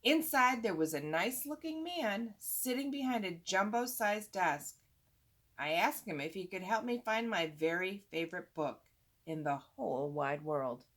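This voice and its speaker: Female storytime reader, very lively pitch movement, mid-range voice, expressive emphasis, chatty asides to children. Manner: expressive, drawn-out emphasis on repeated words. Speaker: storytime reader, teacher-like host. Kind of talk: animated children's read-aloud with asides to young listeners.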